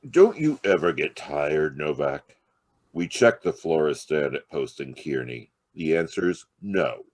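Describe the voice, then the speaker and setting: A man playing a sardonic, gruff police detective in a 1940s radio noir style, needling whoever he questions. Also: very deep voice, expressive, unhurried, darkish tone, no room sound, exaggerated voice. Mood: exasperated. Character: sardonic, hard-nosed male police detective.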